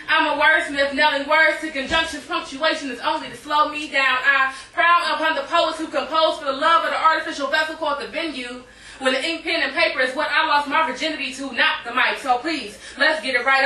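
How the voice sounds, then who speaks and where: A woman's voice: sits high, sharp tone. A woman poet performing a live spoken-word reading of verse. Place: indoor cafe poetry night. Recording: echoey live room, distant microphone.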